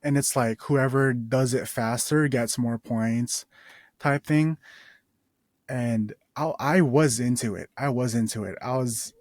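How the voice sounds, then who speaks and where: A man's voice: mid-range voice, takes it slowly, dry recording, studio quality. A man in his twenties casually telling a personal story as a podcast host, rambling with restarts and laid-back slang. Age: 20s.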